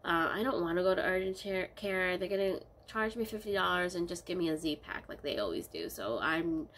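A woman's voice: on the low side, brisk, slight background noise. A young woman with a stuffy, congested voice from a cold, chatting casually in a rambling vlog from her bedroom. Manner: rambling, many ums, relaxed diary talk. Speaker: vlogger. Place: small bedroom, indoors.

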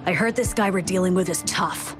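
A woman's voice: lowish voice, brisk, natural voice. Lady voicing a young game henchman sucking up to the boss, eager, music behind. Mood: uneasy. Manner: flattering, eager. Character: fawning young gang underling, eager to please. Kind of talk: video game voice acting.

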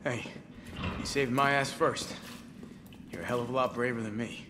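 A man's voice: medium pitch, fairly even intonation, moderate pace, background noise, close to an everyday voice. A man playing a gutsy, loyal soldier in a video game, casual, reassuring and given to swearing. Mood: reassuring, sincere. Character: gutsy, loyal, foul-mouthed male soldier. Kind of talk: video game cutscene acting.

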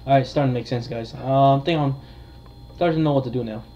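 A man's voice: mid-range voice, fast, muffled recording, heavy background noise. A man giving casual commentary on a horror game, talking to his viewers and thinking aloud as he plays. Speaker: gamer, video host.

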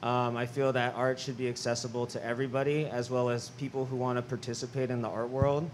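A man's voice: mid-range voice, little pitch movement, quick pace, a little hiss or hum. A man from Los Angeles speaking sincerely and thoughtfully about his art on a panel, with a handheld mic in a gallery. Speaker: artist, panelist. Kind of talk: panel talk. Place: large gallery, indoors.

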